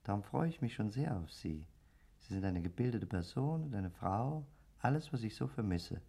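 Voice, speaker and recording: A man's voice: fairly low pitch, lively intonation, moderate pace, creaky, mellow, breathy edge, exaggerated voice. An actor playing the polite, sardonic ghost of a murdered man in a radio play, chatty and mocking. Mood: courteous, inviting. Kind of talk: German radio play acting.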